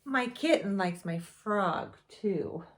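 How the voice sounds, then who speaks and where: Animated woman reading to preschoolers. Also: takes it slowly, slight background noise. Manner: animated storytime voice with big pitch swings. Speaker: preschool teacher. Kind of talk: reading a picture book aloud to children.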